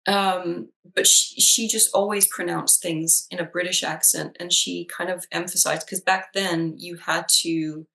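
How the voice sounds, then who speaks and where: A woman's voice: lowish voice, steady tone, a little slow, vocal fry, few pauses, sharp tone, poor audio. A woman whose first language is Danish, a psychologist in casual conversation, chatty with many fillers and restarts. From Denmark. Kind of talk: casual chat.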